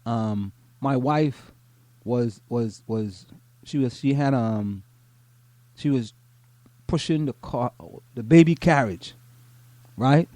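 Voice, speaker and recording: A middle-aged Trinidadian man reads a news piece aloud and then drifts into casual chat, often stumbling and repeating words as he goes. His voice is dark and mellow, and the recording is dry with almost no room sound. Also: mid-range voice, takes it slowly, long pauses, no echo. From Trinidad and Tobago. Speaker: podcast co-host.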